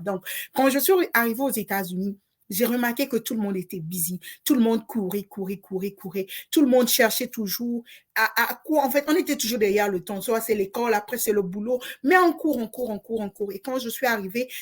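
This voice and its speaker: A woman from Congo, an online business coach, giving a motivational course introduction with emphatic, repetitive, preacher-like encouragement. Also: mid-range voice.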